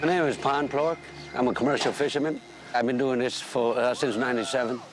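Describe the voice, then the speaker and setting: A commercial fisherman, a man whose first language is Khmer, speaking in a halting, plainspoken way with many repeated words. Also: mid-range voice, steady tone, over loud music, poor audio. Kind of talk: documentary interview.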